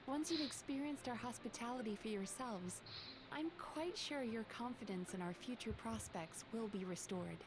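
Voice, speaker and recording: A woman plays a poised spirit proprietress with a fairly high voice. She is courteous and composed, in anime game style, with noticeable background noise. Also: moderate pace, natural voice. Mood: reassuring. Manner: polite, poised. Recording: noisy game audio with ambience.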